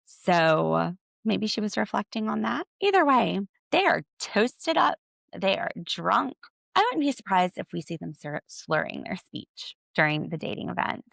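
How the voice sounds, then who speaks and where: An American woman hosting a podcast, giving casual, opinionated commentary in an animated, sarcastic, slangy way. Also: medium pitch, unhurried, vocal fry, no room sound. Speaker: podcast host.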